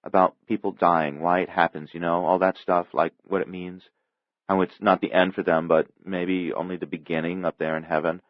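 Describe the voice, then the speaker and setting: A man's voice: low voice, flat delivery, normal speed, dry recording, highs cut off, close to an everyday voice. A man voicing a bossy, protective girl of almost twelve, firm and earnest, in a storybook reading. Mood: earnest, consoling.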